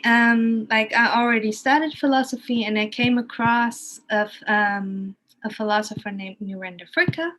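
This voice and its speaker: A woman's voice: mid-range voice, fairly bright tone, low-quality recording. A woman from Germany with a German accent, telling her story casually, thinking aloud and often rephrasing as she goes.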